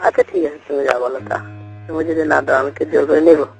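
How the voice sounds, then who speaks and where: A man's voice: fairly high pitch, fairly even intonation, rapid-fire, gravelly, breathy edge. A man with a Bangladeshi Bengali accent chatting casually and intimately on a recorded phone call. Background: music.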